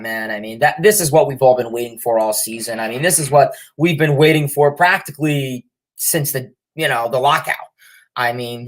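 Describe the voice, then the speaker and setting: A man's voice: mid-range voice, rough recording. A young man hosting a sports livestream with a cold, sniffling as he reads viewer comments and rambles with lots of fillers and restarts. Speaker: livestream host. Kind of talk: casual sports chat reading viewer comments.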